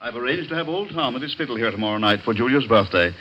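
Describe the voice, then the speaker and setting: An actor playing a grim, insinuating husband with a deliberate manner in a 1940s radio drama. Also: male voice, medium pitch, animated, pushed and bright. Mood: polite. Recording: dull, noisy vintage radio recording.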